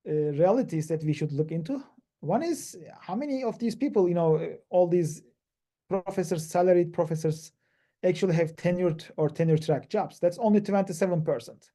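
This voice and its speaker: A man from Turkey giving a webinar presentation on a video call, talking haltingly with many fillers and restarts. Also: high-pitched, mellow. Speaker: PhD panelist.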